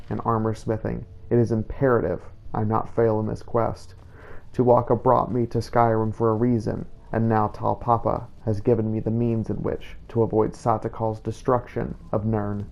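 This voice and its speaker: Male narrator, low register with heavy creak, reading first-person adventure journal entries in a formal, measured storytelling way. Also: dull audio. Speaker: host and player of a game playthrough.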